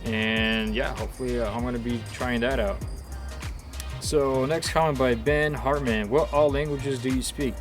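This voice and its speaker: A young man from Ukraine talks in a loose, easygoing vlog manner at a middling pitch. He is recorded outdoors with clear music playing behind him. His phrasing is relaxed and full of casual filler. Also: normal speed. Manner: laid-back, chatty, loose phrasing with "dude" and "like". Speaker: RC car channel host. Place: outdoors, windy day.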